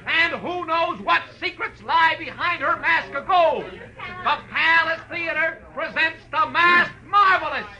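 An actor playing a flashy burlesque master of ceremonies, making grand, bombastic announcements in an old radio drama. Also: male voice, very high voice, slow, pushed and bright, full character voice, poor audio. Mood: suspenseful, booming. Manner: bombastic, suspense-building showman. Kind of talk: grand theatrical stage announcement.

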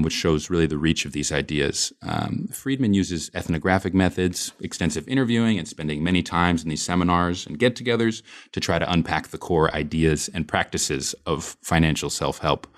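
A man hosting an academic book podcast, introducing his guest earnestly with frequent ums and uhs. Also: sits low. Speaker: podcast host. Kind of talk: scripted introduction then interview questions.

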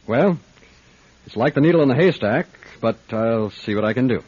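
An actor playing a wary, dry-humored private detective in a radio drama, with a measured, probing delivery. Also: male voice, medium pitch, expressive, darkish tone, slightly rough, dry recording. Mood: businesslike. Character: gruff, wary male private detective who narrates. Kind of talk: radio drama acting and first-person narration. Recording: dull lo-fi radio drama recording.

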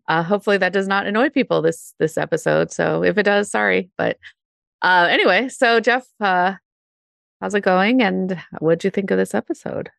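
A woman's voice: mid-range voice, expressive, moderate pace, gravelly, a bit bright, no echo, clean studio sound. A woman co-hosting a podcast, under the weather and a bit nasal, chatting casually and laughing often. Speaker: podcast co-host.

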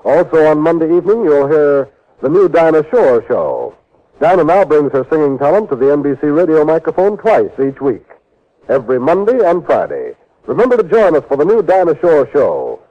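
A man's voice: on the high side, very animated, normal speed. An American man reading scripted promos and credits as a polished, formal announcer in 1950s radio style. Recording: muffled vintage radio recording.

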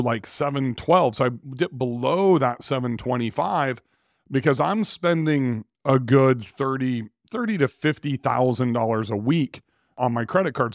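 A man hosting his own show, talking casually and in a rambling, self-correcting way with a slightly raspy, tired voice. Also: mid-range voice, no room sound, clean studio sound. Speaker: podcast host. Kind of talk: casual solo talk on real estate finance.

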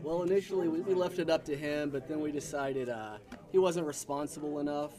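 A man answering as a convention interview guest with dry, deadpan joking. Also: fairly high pitch, a little fast, a crowd in the background. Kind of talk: casual joking chat.

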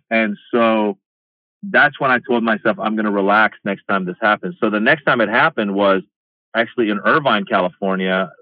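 A middle-aged stand-up comedian from Iran tells stories in a casual interview, with an animated delivery. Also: male voice, fairly low pitch, dry recording. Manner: animated, acts out quoted exchanges. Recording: tinny phone-like line, dry.

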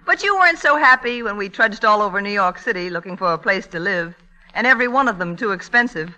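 Sardonic older sister in 40s radio comedy, really sharp forward voice, lots of up and down. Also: female voice, medium pitch, animated, quick pace, poor audio. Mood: sardonic narrating. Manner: dry, sardonic. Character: wry, worldly older sister, an aspiring writer. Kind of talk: 1940s radio comedy acting, storytelling. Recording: vintage radio broadcast recording.

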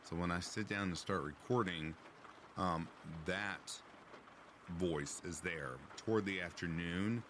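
Deep, low-voiced man chatting on stream. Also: takes it slowly, background noise. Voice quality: deep, raspy. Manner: relaxed, chatty, reads chat aloud. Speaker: livestream host. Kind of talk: casual chat with live chat.

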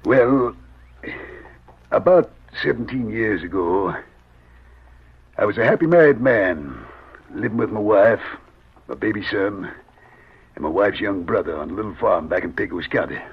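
An actor playing a weary, wary middle-aged drifter in an old radio western, with a husky voice and folksy frontier speech. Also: male voice, lowish voice, lively intonation, a little slow, long pauses, exaggerated voice. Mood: earnest, troubled. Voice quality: husky, gravelly. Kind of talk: 1940s radio western acting. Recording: muffled old radio recording.

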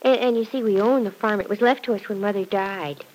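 A woman's voice: medium pitch, brisk, a little mellow, lightly acted, rough recording. A woman playing a cheerful, devoted young farm girl working as a housemaid in a classic radio drama, earnest and artless with folksy exclamations. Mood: wistful, earnest.

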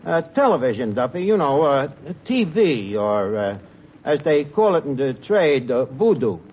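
An actor playing a slangy, wisecracking tavern manager with a New York accent and a hesitant drawl, in 1940s radio comedy. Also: male voice, mid-range voice, big pitch swings, normal speed, lightly acted. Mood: wry, chatty. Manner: drawling, hesitant, malapropism-prone wiseguy. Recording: muffled noisy old radio recording, live audience. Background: laughing studio audience.

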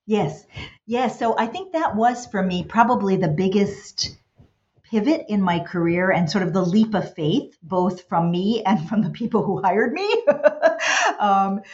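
A woman's voice: mid-range voice, lively intonation, a little slow, darkish tone. A middle-aged woman whose first language is Hungarian, answering interview questions thoughtfully with many restarts and fillers. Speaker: guest, college president. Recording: dull, lo-fi recording.

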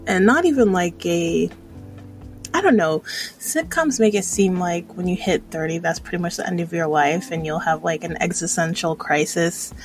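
A woman's voice: on the low side, normal speed, soft background music. A woman in her thirties chatting casually in a personal vlog, rambling and hesitant as she reflects on herself. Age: 30s. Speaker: vlogger.

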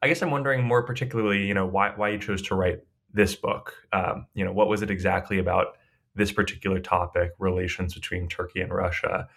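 A man's voice: low voice, steady tone, fairly quick, vocal fry, fairly bright tone. A man hosting a conversational interview, putting his questions in a tentative, roundabout way. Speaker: podcast interviewer, host.

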